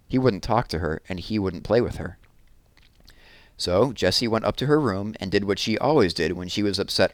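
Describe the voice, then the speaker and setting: A man narrating a children's book aloud with expressive storytelling. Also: on the low side, dry recording.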